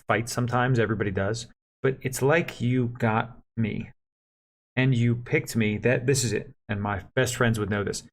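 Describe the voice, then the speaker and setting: A man's voice: lowish voice, a bit bright, a little hiss or hum. A man co-hosting a casual couple's Q&A, playful and talkative, reading the questions aloud. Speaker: co-host husband.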